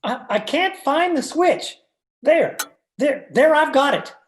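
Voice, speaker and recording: A woman's voice: high-pitched, lively intonation, slow, reverberant. A woman playing a fussy, deferential husband in old-time radio style, with a pleading manner. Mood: flustered.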